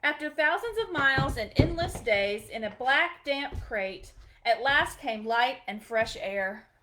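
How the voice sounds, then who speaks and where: A woman's voice: high voice, animated, unhurried, sharp tone, slight background noise, rough recording. A woman hosting a storytime, reading a picture book aloud expressively for children, with short chatty asides.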